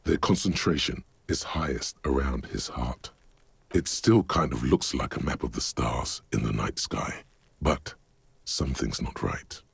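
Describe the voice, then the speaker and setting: A man reading measured, ominous second-person narration for a video game. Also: very deep voice, vocal fry, slightly rough, no room sound.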